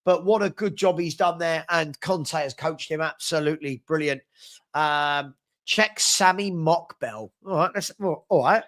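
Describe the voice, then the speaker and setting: A young man hosting a live fan chat stream, upbeat and chatty as he reads out viewers' comments and gives shout-outs, with a head cold that leaves him a bit sniffly. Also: fairly high pitch, a little slow.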